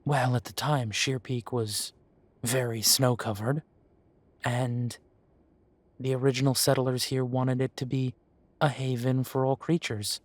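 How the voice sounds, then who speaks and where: An actor playing a kindly café owner in a fantasy town, talking to the listener in an unhurried, courteous, slightly formal way in a roleplay audio. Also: male voice, mid-range voice, flat delivery, no echo, close to an everyday voice. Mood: proud storytelling.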